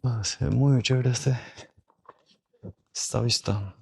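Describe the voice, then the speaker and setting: A man with a hoarse, slightly rough voice records a travel vlog out in the desert. He talks at a mid pitch, chatty and thinking aloud to the viewers. The sound is dry, with little room around it. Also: fairly even intonation, takes it slowly, no echo. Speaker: travel vlogger. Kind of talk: casual on-location vlogging. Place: outdoors in a desert national park.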